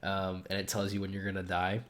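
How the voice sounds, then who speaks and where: A man's voice: deep voice, completely flat delivery, brisk, a bit bright. A man co-hosting a casual comedy podcast, nasal from a cold, wry and self-deprecating with frequent chuckles. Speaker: podcast co-host.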